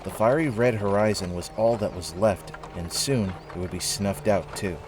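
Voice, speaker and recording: Low-voiced man narrating an audio drama. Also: normal speed, background noise. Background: music.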